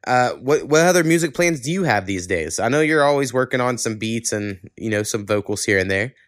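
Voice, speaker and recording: A man's voice: mid-range voice, lively intonation, fairly quick, dry recording, studio quality. A man in his thirties hosting a podcast in a casual, conversational way. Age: 30s. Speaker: podcast host. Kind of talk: casual chat.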